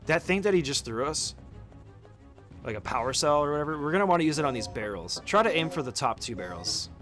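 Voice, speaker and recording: A man's voice: fairly high pitch, animated, fast, a bit bright, faint music behind. A man giving an informal, casual walkthrough commentary as a speedrunner teaching a game route, swearing now and then.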